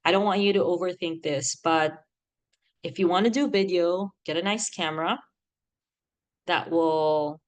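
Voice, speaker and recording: A woman in her thirties from the Philippines, with a Filipino accent that leans American, giving animated, chatty podcast advice and laughing often. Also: fairly low pitch, measured pace, long pauses. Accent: Filipino, with an American lilt. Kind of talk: casual advice talk.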